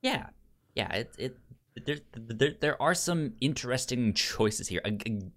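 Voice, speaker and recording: A man with a medium-pitched voice talks through films as a podcast co-host. His delivery is halting, with stuttered first sounds and repeated words, in an informal conversational style. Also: lively intonation, fairly bright tone. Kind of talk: casual film discussion.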